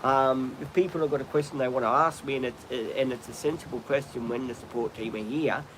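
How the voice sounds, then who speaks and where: A man's voice: mid-range voice, fairly even intonation, brisk, noisy background. An Australian man hosting a casual live stream, chatting in a rambling way with frequent ums and restarts. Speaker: livestream host. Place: outdoors, birds in trees nearby.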